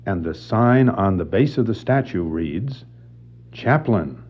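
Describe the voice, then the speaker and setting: A man's voice: on the low side, lively intonation, slow, highs cut off. A man reading out written signs in a plain descriptive style. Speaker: reader of on-screen signs.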